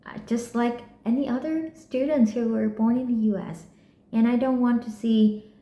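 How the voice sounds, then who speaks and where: A young Vietnamese woman with a Vietnamese accent talks slowly and thoughtfully in a personal vlog. Her voice is low and mellow, soft-spoken, with frequent halting restarts. The room around her sounds echoey. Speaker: vlogger.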